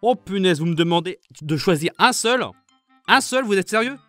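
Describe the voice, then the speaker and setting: Man, hesitant, lots of pauses, casual Q&A, faint music. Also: high voice, animated, pushed and bright, dry recording. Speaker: fandub group member. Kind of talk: casual answers to viewer questions.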